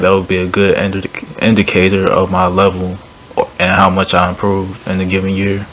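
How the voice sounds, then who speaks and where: Deep, flat-voiced young man vlogging. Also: slight background noise. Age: young adult. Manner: relaxed, rambling, with fillers and restarts. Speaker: language learning YouTuber. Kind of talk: casual vlog talk to camera.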